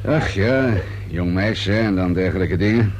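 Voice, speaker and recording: An actor playing a wry, methodical police inspector in a radio drama, politely probing in a musing, unhurried way. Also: male voice, low voice. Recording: hum and steady noise behind voice.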